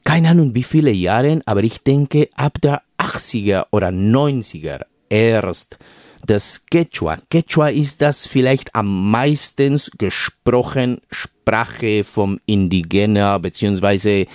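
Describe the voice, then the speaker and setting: A man's voice: on the low side, a little mellow, no room sound, clean studio sound. A man from Peru, a native Spanish speaker with a Peruvian accent, giving a radio interview as a guest and speaking hesitantly with many fillers.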